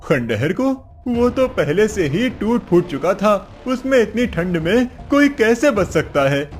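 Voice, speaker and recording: A man's voice: high voice, very animated, unhurried. A man voicing an anxious, doubting villager in a cartoon story, agitated and declaiming. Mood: doubtful. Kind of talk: cartoon story character acting. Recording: music behind the voice.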